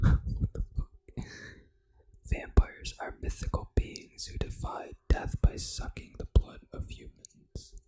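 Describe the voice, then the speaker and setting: An ASMR gaming host, reading trivia in a breathy, unvoiced whisper with casual, informal asides. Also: mellow. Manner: casual, informal, unhurried reactions to what is read.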